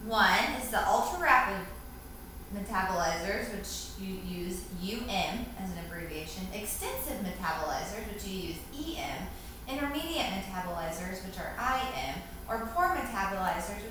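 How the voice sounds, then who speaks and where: A woman recites prepared answers like a student in class, formally and carefully. Her medium-pitched voice comes from some distance away in a strongly echoing room. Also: expressive, normal speed. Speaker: student answering quiz questions. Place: reverberant indoor room, distant microphone. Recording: very echoey room, far mic, faint hiss.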